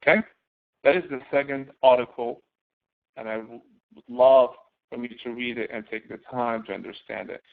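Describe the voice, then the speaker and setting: A man giving an instructional walkthrough as a course instructor, explaining step by step and posing questions that he then answers, in a gravelly voice. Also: mid-range voice, normal speed. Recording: narrow, phone-like audio.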